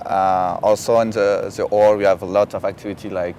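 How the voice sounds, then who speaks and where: Young Frenchman speaking halting English. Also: fairly low pitch, level tone, a little mellow, slight background noise. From France. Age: young adult. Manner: halting, simple phrasing. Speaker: exchange student. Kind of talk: casual chat. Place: outdoors on a campus lawn. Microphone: camera at a distance.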